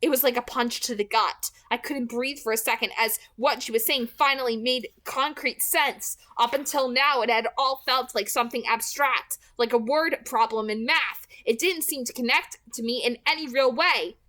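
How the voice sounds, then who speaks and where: A female amateur audiobook reader with a fairly high, very bright voice. She narrates a novel in an expressive, dramatic way with emphatic phrasing. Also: normal speed, few pauses.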